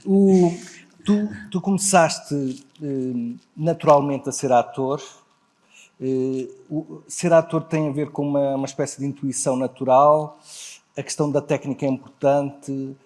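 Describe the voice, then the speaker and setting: A man with a European Portuguese accent hosting a semi-formal stage conversation, pausing often between short phrases. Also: medium pitch, fairly even intonation, a little mellow. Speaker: interview host.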